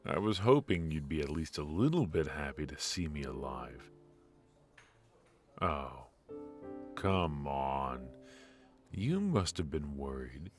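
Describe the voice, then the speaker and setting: A man playing a cocky but affectionate secret agent in an intimate noir audio roleplay, with halting, teasing delivery. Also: very deep voice, big pitch swings, music in the background, heavily acted. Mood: weary, teasing. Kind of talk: intimate ASMR roleplay voice acting.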